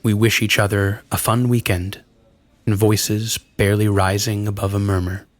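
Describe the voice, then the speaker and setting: A man narrating first-person horror fiction from a script, grim and numb, in short deliberate phrases with pauses. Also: lowish voice, little pitch movement, slight background noise. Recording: prominent music behind the voice. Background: music.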